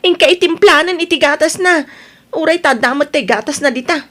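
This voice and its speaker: A woman's voice: sits high, brisk, fairly bright tone, a little hiss or hum. A woman playing a headstrong, restless young wife in an audio drama, with an Ilocano Filipino accent. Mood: impatient, insistent. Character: headstrong restless young wife wanting city life, defiant.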